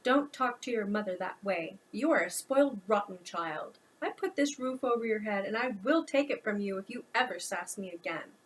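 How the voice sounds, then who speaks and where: A woman's voice: mid-range voice, animated, normal speed, hardly any pauses, a little hiss or hum, lightly acted, low-quality recording. Woman voicing a scolding, overbearing mother.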